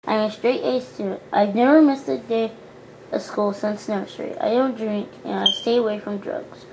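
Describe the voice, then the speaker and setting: A woman's voice: fairly high pitch, fairly even intonation, moderate pace, vocal fry, mellow, slight background noise, low-quality recording. A young woman voicing a prim, churchy teenage girl doll who talks in an earnest, boastful way. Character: prim pious teen girl doll, goody-two-shoes.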